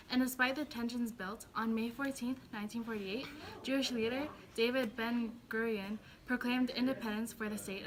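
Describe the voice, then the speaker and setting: A woman's voice: on the high side, natural voice, low-quality recording. A woman playing a blunt, opinionated news anchor in a scripted mock newscast.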